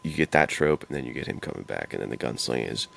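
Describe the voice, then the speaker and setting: A man with a strikingly deep voice talks in a casual podcast style. His tone has a clear gravelly creak. He reflects out loud, informally working through his thoughts as he speaks. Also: lively intonation, fast, slight background noise. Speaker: podcast co-host.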